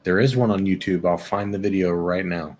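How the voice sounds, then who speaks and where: A man's voice: low voice, fairly quick, background noise. A man co-hosting a casual podcast, laid-back and a bit hesitant as he reads out specs. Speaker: podcast co-host. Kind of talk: casual chat on a live stream.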